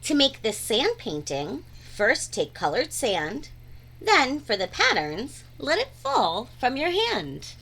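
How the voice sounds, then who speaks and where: A woman reading a rhyming children's picture book aloud in an expressive, deliberate, sing-song way. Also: sits high, a little hiss or hum. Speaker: read-aloud storyteller.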